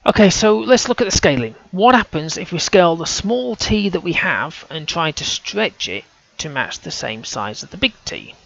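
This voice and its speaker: A man's voice: high-pitched, big pitch swings, normal speed, vocal fry, few pauses, fairly bright tone, dull audio. A man talking through on-screen images, casual and hesitant, often restarting his sentences as he thinks aloud. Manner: hesitant, self-correcting, casual. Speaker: amateur researcher.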